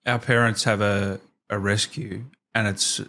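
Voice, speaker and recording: A man's voice: on the low side, little pitch movement, a little slow, pushed and bright. A man in his thirties hosting a casual interview, asking short informal questions into a close desk microphone. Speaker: host, interviewer. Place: small indoor streaming room. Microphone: desk microphone close up, headset on.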